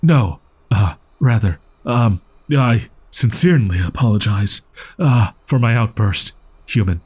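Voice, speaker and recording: A man's voice: lowish voice, expressive, a little slow, a little mellow, breathy edge. A man voicing a proud, easily flustered young wolf-boy in an audio roleplay, speaking in haughty, archaic, formal phrasing. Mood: sheepish apology.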